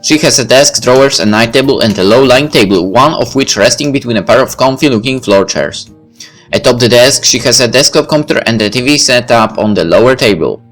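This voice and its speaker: A man narrates a story game in a read-aloud style. He sometimes trips on words and rereads them. His voice is mid-pitched, with light music underneath. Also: fairly quick, fairly bright tone. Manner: stumbles over and rereads words. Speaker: reader playing through a visual novel.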